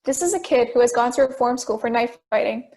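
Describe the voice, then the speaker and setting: Persistent juror, acted by a woman, high voice, logical, pointed questioner, amateur audio drama. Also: lively intonation, fast talker, dark tone, close to an everyday voice. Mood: reasoning. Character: persistent, logical juror who challenges the others.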